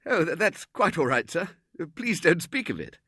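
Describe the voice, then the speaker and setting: A man's voice: fairly high pitch, big pitch swings, normal speed, fairly bright tone, slightly rough, no room sound, clean studio sound, voice pushed up, full character voice. A man voicing a flustered, polite young man who stammers earnestly in a storybook reading.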